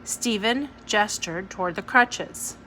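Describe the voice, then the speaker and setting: Slow-paced woman reading aloud, some noise. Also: mid-range voice, bright, forceful tone. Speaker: read-aloud narrator. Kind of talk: storybook read-aloud, first-person narration. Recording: noisy home recording.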